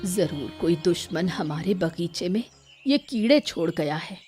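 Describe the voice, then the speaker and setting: A woman's voice: fairly low pitch, animated, unhurried, a little mellow, husky, soft background music, exaggerated voice. A woman playing a worried, shrewd old village mother in a moral-story cartoon. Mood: worried, suspicious. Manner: lamenting, suspicious.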